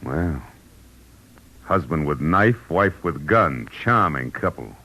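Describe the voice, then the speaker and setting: A man's voice: very deep voice, animated, slow, sharp tone, a little hiss or hum, full character voice, poor audio. An actor playing a hardboiled, sardonic male private detective in an old radio drama, with tough, clipped delivery. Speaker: narrator and lead actor. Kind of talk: 1940s-50s radio detective drama acting, first-person narration. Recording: old radio recording with music.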